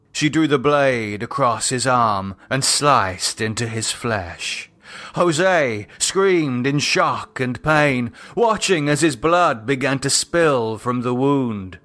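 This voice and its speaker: A man narrating a horror novel in a measured, dramatic storytelling manner. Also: medium pitch, fairly bright tone. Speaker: audiobook narrator.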